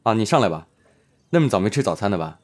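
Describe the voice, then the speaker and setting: A man playing a gentle, modest, considerate actor in an audio drama, polite and understated. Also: lowish voice, very animated, fast talker. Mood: gentle, caring.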